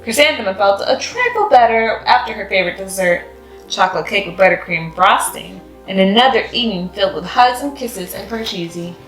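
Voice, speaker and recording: A woman's voice: mid-range voice, lively intonation, brisk, a bit bright. A woman reads a children's story aloud in scripted storybook narration. Her voice sits in a roomy, echoing space, with faint music behind it. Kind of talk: scripted picture-book narration.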